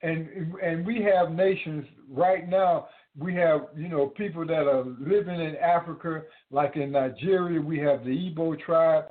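A man preaching to his listeners, halting often with repeated words and fillers. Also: on the high side, fairly even intonation. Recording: tinny phone-like audio.

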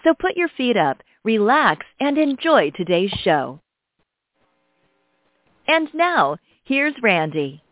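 Female announcer, soothing scripted intro, lively melody, dry narrow tinny sound. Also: a little high, slow pace, pauses often, dry recording. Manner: soothing, expressive promo read. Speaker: show announcer.

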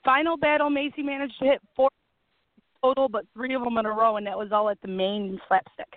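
A woman's voice: on the high side, steady tone, a little fast, no echo. A woman from the Chicago area calling in, chatting about a family road trip in an easygoing, rambling way. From Illinois, Chicago area. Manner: easygoing, self-deprecating, rambling. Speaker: caller, parent. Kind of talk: casual chat. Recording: tinny, dry phone line.

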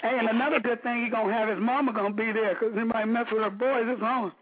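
A woman bantering casually as a talk show co-host, playful and quick to chime in and agree. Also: a little high, fairly even intonation. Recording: thin phone-like sound, faint noise, clicks.

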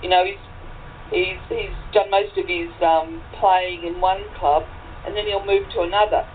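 An Australian woman being interviewed, explaining slowly with many fillers and repeats. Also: fairly low pitch, steady tone. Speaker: guest. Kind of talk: casual interview chat. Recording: tinny phone-like line, faint noise.

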